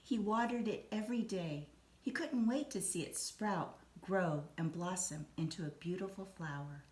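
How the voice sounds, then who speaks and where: A woman reading a children's story aloud in an expressive, deliberate storytelling manner. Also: lowish voice. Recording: roomy echo, some background noise.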